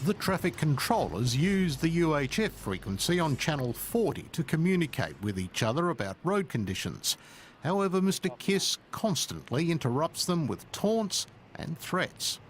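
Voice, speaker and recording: Male Australian TV reporter, sensational scripted narration, animated pitch, music bed behind. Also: moderate pace. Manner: sensational, punchy tabloid delivery. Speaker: TV news reporter. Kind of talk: scripted current-affairs narration.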